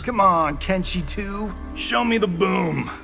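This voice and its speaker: An actor playing a cocky, flippant, vain action movie star in a video game. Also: male voice, high voice, expressive, slow, vocal fry, music in the background, heavily acted. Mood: cocky teasing.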